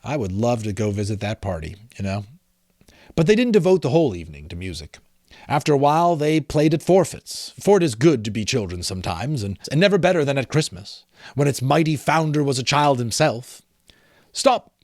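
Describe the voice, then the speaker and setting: A man's voice: medium pitch, sing-song, brisk, a little mellow, no echo. A man narrates a classic storybook with theatrical emphasis. His delivery swings freely up and down in a mid-range voice, and the recording is dry and free of room sound. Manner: theatrical, emphatic, breaks off to explain. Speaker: amateur audiobook reader. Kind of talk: dramatic storybook narration with asides.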